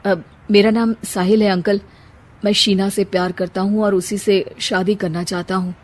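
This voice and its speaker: A woman's voice: mid-range voice, little pitch movement, normal speed, lightly acted. A woman voicing an earnest young man in love in a cartoon story, speaking in a reassuring, reasoning way.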